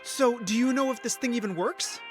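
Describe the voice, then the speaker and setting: A man's voice: very high voice, normal speed. A man playing an earnest, playful, lovestruck ordinary young guy in an indie audio drama, with a theatrically joking manner. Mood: incredulous. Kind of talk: indie audio drama acting with first-person narration. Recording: music under the voice. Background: music.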